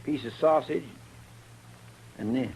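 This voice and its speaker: An actor playing a folksy, eager deputy to a frontier marshal in a radio western, with an American accent and a deferential manner. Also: male voice, mid-range voice, slow, darkish tone. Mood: matter-of-fact. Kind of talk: 1950s radio western acting. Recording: faintly noisy old radio recording.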